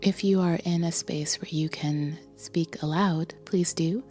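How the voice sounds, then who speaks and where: A woman leading a guided meditation with a soft, breathy voice, gently instructing in short phrases with pauses between them. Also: on the low side, fairly even intonation. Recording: music behind the voice. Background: music.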